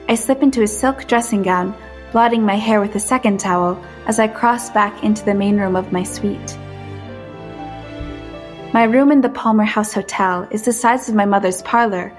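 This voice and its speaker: A woman playing a shy, awed young woman in a period audio drama, soft-spoken, hesitant and earnest. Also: fairly high pitch, natural voice. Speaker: lead actress and narrator. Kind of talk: first-person dramatic narration and dialogue.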